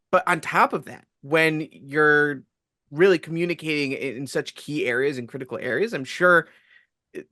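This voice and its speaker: Man host, slightly high voice, dry close mic; articulate and reflective. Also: a bit bright, no echo. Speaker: podcast host. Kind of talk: casual interview.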